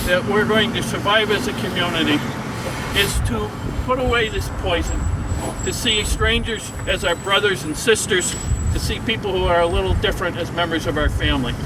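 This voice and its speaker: A man giving an earnest public speech outdoors at a memorial ceremony, repeating words as he builds each point. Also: high voice. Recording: distant outdoor recording, heavy noise.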